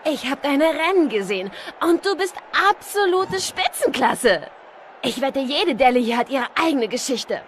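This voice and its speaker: An actress voicing a friendly, playful young female rally car in an animated film dub, amiable and lighthearted. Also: sits high, moderate pace. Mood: amused, apologetic. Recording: clear background noise.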